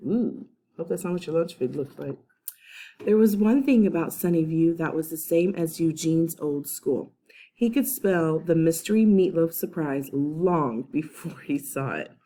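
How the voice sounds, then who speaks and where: A woman's voice: low voice, warm tone. A woman teacher reading a children's chapter book aloud, with chatty asides to young listeners. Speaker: first grade teacher.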